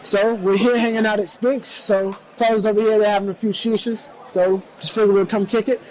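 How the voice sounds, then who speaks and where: Man, high voice, host, laid-back slang, keeps saying "man". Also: level tone, fairly quick, darkish tone, a little hiss or hum, low-quality recording. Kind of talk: casual hip hop interview. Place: shisha lounge.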